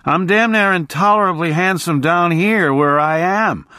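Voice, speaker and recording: A man's voice: high-pitched, moderate pace, bright, forceful tone, no echo, studio quality, pitched above the performer's own voice. A man voicing a pompous, drunken magazine correspondent who declaims with grandiose authority. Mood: grandiose, sodden.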